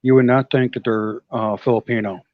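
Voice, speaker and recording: A man's voice: mid-range voice, steady tone, fairly quick, no room sound. Dry-joking man on a dull call line. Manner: dry teasing remarks. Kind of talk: casual live stream chat.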